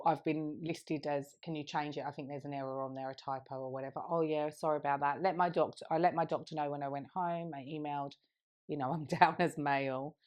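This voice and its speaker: A woman in her thirties, her voice sitting a little low for a woman. She tells a long story in easy, rambling podcast chat and laughs often along the way. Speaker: podcast co-host.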